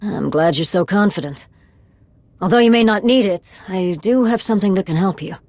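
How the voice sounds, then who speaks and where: A woman playing a devoted, steadfast fugitive in a video game, speaking in a measured, earnest way. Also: mid-range voice, darkish tone, no echo, close to an everyday voice. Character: steadfast, devoted female fugitive, soothing and resolute.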